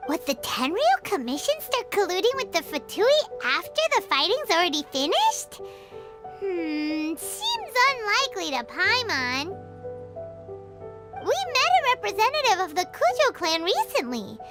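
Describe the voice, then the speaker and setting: Game fairy sidekick: tiny excitable girl, very high childlike voice. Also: measured pace, over loud music, full character voice. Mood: doubtful, curious. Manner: talks in third person, childlike exclamations. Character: small excitable floating fairy companion girl, high and squeaky.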